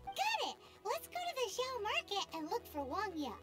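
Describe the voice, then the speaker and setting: A woman voicing a tiny, excitable, food-loving female fairy companion in a video game, with a high, squeaky, bouncy voice. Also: normal speed, music in the background. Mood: eager.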